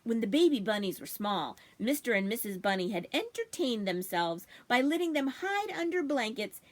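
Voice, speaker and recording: A woman reading a children's storybook aloud as expressive narration, in the manner of a library storytime. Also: on the high side, moderate pace.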